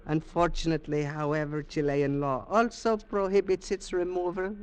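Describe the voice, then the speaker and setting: Man playing high-voiced courtly Peruvian collector, Spanish accent. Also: steady tone, smooth, natural voice. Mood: guarded, persuasive. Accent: Spanish-accented English, Peruvian. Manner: formal, deliberate, courteous. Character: wealthy frail Peruvian collector, courtly and shrewd. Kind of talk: 1940s radio acting. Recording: old 1940s radio broadcast.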